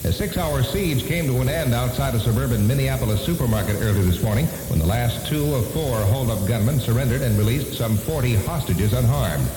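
A man's voice: mid-range voice, lively intonation, brisk, hardly any pauses, noisy background. A man reading a scripted news bulletin on 1970s AM radio. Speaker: radio newsreader. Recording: echoey, reverberant 1970s AM radio newscast.

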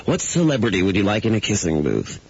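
Male radio sidekick, rough-edged voice, lively inflection, wry casual banter, muffled broadcast tape. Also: fairly low pitch, fast talker. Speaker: radio morning show co-host. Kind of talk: casual radio banter, weather and time checks. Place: radio studio. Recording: dull lo-fi radio aircheck.

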